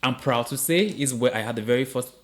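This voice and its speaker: A man's voice: medium pitch, brisk, a bit bright. Chatty, encouraging male podcast host. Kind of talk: casual interview chat.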